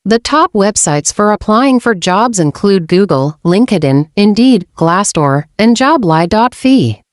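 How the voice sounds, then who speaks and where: A woman hosting a channel, reading an informational script in a steady, measured way. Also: mid-range voice, no room sound, clean studio sound. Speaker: channel host.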